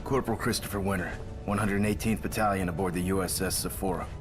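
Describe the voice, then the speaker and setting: An eager young marine corporal played by a man answers respectfully in clipped military game dialogue. The voice is on the low side, with music clearly audible behind it. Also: steady tone, fast, close to an everyday voice. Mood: dutiful.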